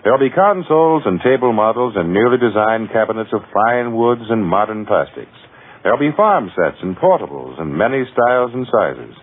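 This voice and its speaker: A man's voice: mid-range voice, slightly rough, narrow, tinny audio. A man reading a scripted vintage radio advertisement as a commercial announcer.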